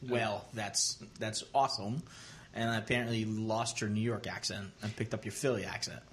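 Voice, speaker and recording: A man's voice: on the low side, steady tone, normal speed, fairly bright tone, a little hiss or hum. A man co-hosting a podcast, asking upbeat questions in casual chat. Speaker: guest interviewer, podcast co-host.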